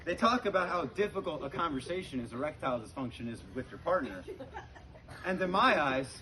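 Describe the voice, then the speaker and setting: A young man telling stand-up comedy stories to an audience in a conversational, halting way, with pauses. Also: fairly high pitch, animated, a crowd in the background. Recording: very noisy live comedy recording with clicks. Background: audience laughter.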